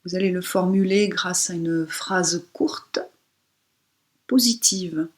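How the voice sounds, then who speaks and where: Very slow, breathy woman guiding relaxation. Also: fairly low pitch. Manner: soothing, unhurried instructions with pauses. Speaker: yoga instructor. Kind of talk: guided relaxation meditation.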